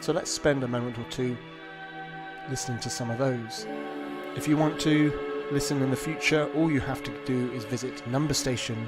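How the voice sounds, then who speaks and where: A man's voice: medium pitch, fairly even intonation, normal speed. A man, talking casually and explaining things to listeners as the host of an online show. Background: backing music.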